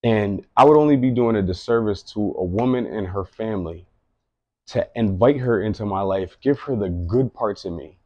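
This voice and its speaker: A man's voice: lowish voice, normal speed, a little mellow, no room sound, highs cut off. A man in his late thirties giving an earnest, rambling personal monologue, often repeating himself. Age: late 30s. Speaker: vlogger.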